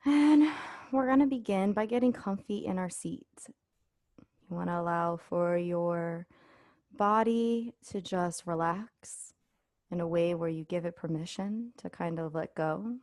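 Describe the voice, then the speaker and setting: A woman leading a guided body scan meditation as an instructor, speaking in a soothing, unhurried way with pauses. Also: lowish voice, a little mellow, no room sound, studio quality.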